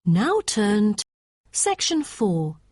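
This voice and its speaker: A woman's voice: medium pitch, sing-song, slow, darkish tone, no room sound. Female exam announcer, scripted instructions, very dry studio-like sound.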